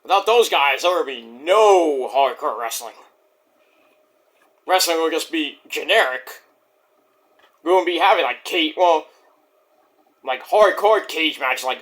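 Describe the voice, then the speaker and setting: Middle-aged brooklyn guy w/ new york accent, kinda high voice, bragging and rambling on his channel. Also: fairly bright tone. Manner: boastful, rambling, restarts and fillers. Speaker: wrestling review YouTuber. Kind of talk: casual rambling monologue.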